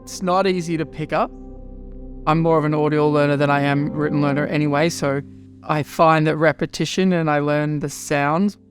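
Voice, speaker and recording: A man's voice: a little high, fairly even intonation, normal speed, soft background music. A man in his late thirties, a choir member, speaking plainly and reflectively in an interview. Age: 30s.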